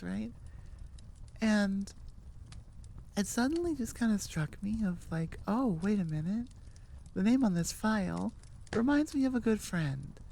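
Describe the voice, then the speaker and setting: A woman's voice: mid-range voice, gravelly, warm tone. A woman who is sick, chatting casually to her listeners in a playful, rambling, self-deprecating way as an audio creator. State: sick with a cold or similar illness.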